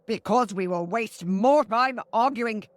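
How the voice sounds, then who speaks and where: Woman voicing a shrill, ancient blind witch hag. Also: unhurried, natural voice. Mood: snappish, defiant. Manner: archaic, theatrical, declaiming.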